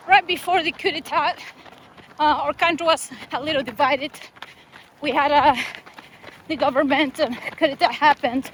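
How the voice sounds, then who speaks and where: A woman talks in relaxed, chatty bursts while she jogs beside a highway. Her voice sits high. Clear traffic noise runs behind her outdoors. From Chile. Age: 50s. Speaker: vlogger host. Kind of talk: casual chat while running.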